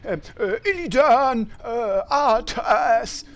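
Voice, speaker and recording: An actor playing a dithering would-be heroic adventurer, halting and declamatory, in a fantasy parody audio drama. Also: medium pitch, lively intonation. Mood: flustered bravado.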